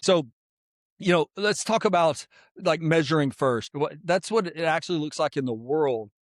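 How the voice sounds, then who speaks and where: A man of fifty, hosting a podcast in a casual, energetic monologue full of "like" and repeated points. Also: fairly high pitch, moderate pace, dry recording, clean studio sound. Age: 50s. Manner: energetic, repetitive, filler-heavy persuasive talk. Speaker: podcast host.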